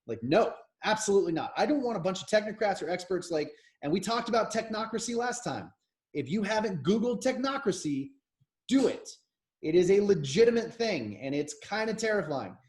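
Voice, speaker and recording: A man's voice: high voice, expressive, fairly quick, darkish tone. An American man in his thirties co-hosting a podcast, talking politics in an opinionated, rambling way with frequent restarts. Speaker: podcast co-host. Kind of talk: casual political chat.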